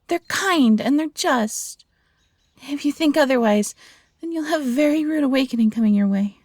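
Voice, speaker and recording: A woman's voice: high voice, normal speed, darkish tone, dry recording, clean studio sound, close to an everyday voice. A woman playing a loyal, feisty young fox hybrid, earnest and protective, in a fantasy audio roleplay. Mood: indignant. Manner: earnest, defiant, pleading.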